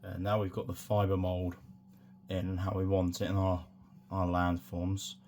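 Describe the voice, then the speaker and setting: A man with a croaky voice from a sore throat, talking through a hobby tutorial in a relaxed, rambling way with many fillers. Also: sits low, measured pace, a little hiss or hum. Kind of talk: casual hands-on tutorial.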